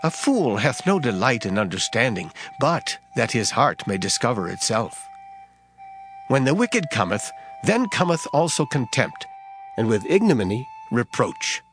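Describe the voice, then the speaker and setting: A man narrating scripture in a dramatized King James style, with solemn, measured, emphatic delivery. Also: medium pitch, big pitch swings, a bit bright. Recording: music under the voice. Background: background music.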